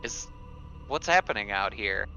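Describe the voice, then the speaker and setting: Bright-voiced man, halting game player. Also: fairly low pitch, expressive, vocal fry, faint music behind. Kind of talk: casual in-game roleplay talk.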